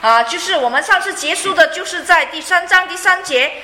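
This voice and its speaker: A woman's voice: very high voice, fairly quick, sharp tone, slight background noise. A woman interpreting a sermon phrase by phrase, fluent but with frequent fillers and light laughs. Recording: echoey room.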